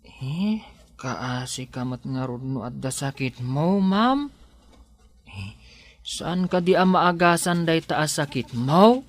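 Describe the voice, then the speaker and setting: Woman voicing naive village handyman, slow pace, halting, kindly, deferential, Ilocano accent, radio comedy drama. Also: low-pitched, animated, full character voice. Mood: deferential, curious. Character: simple-minded, kindly, eager village handyman, a grown man.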